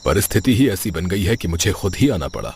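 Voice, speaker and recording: A man playing a noble, fair king in a cartoon moral tale, speaking with formal, measured authority. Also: deep voice, sing-song, a little mellow, a little hiss or hum. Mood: commanding. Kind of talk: Hindi moral cartoon story acting.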